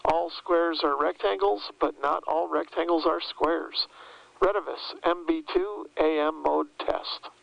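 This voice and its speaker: Gravelly man over CB radio. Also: a little high, measured pace, no room sound. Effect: CB radio transmission. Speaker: radio tester. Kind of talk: scripted radio test announcements. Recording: muffled band-limited CB radio transmission.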